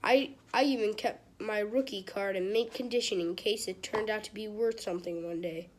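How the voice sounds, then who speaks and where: A woman reads aloud casually from a children's book, with some noise and clicking in the recording. Her reading stumbles here and there, and she slips into informal, exclamatory commentary. Her pitch sits in the middle range for a woman. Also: fairly even intonation, normal speed. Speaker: amateur reader of a children's book. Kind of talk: casual read-aloud with chatty asides. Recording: clearly noisy home recording with clicks.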